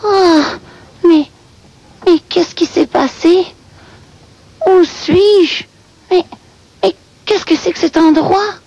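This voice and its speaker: A woman voicing an excitable, lost female seabird from Quebec who speaks with a Québécois French accent and switches between French and English. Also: extremely high pitch, slow, long pauses, highs cut off, full character voice. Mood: bewildered. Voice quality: expressive, dramatic, mixing French and English.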